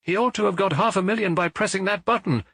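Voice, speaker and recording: A man voicing a little priest detective in an audiobook, gentle and earnest as he explains in a measured, lecturing way. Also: high voice, no room sound, clean studio sound, pitched above the performer's own voice. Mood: grave explaining. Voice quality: gentle, even. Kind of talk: audiobook character dialogue.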